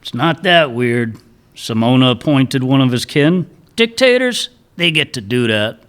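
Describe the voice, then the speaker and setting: A man's voice: mid-range voice, animated, measured pace, a bit bright, clean tone. A man playing a cocky, wisecracking henchman. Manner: cocky, joking.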